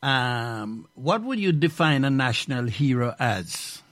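A man hosting a radio chat, stumbling and restarting before pressing his point with growing animation. His voice sits at a middle pitch and sounds dry and close. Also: no echo. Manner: halting, many restarts, animated.

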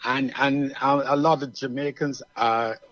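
A man's voice: medium pitch, level tone, unhurried, a bit bright, no room sound, lo-fi sound. A man with a Barbadian accent, explaining his views at length in a casual radio chat.

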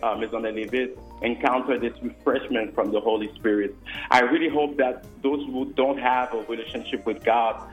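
A man's voice: mid-range voice, fairly even intonation, muffled recording, faint music behind. Earnest man in a roomy echo. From Haiti. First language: Haitian Creole and French. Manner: earnest, halting, many fillers. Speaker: guest musician and worship leader. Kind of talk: radio interview answers.

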